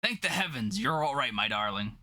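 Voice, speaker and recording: A man's voice: mid-range voice, very animated, fairly quick, pushed and bright, pitched below the performer's own voice. A man voicing an earnest, declamatory scientist and team leader in a dramatic comic-book reading. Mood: tense. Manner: declamatory, urgent. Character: commanding, earnest male scientist hero, melodramatic team leader.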